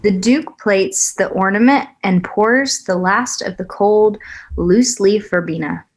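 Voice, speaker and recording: A woman reading stage directions aloud at a script table read, even and matter-of-fact. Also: medium pitch, takes it slowly, rough recording.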